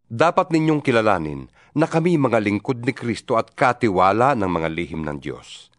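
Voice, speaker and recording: A man giving a solemn, expressive dramatized reading of scripture, his pitch swinging widely. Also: moderate pace, no echo, studio quality. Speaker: Bible reader. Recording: reading over guitar music.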